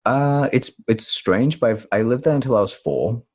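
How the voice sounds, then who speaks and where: A man from Tanzania, talking as a podcast guest in a relaxed, rambling way that often corrects itself. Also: mid-range voice, moderate pace. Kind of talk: podcast interview conversation.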